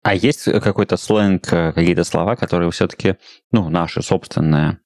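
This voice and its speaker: A man hosting a studio talk, with a low voice and a measured, reflective way of asking questions. The recording is dry, with no room sound. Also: a little mellow, no echo. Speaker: host. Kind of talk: studio interview.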